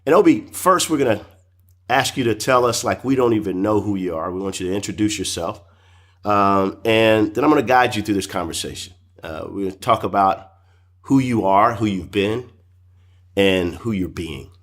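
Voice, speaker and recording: A man with a fairly low voice works as a podcast co-host. He interviews in a loose, conversational style and encouragingly repeats bits of the answers back. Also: lively intonation, normal speed, fairly bright tone.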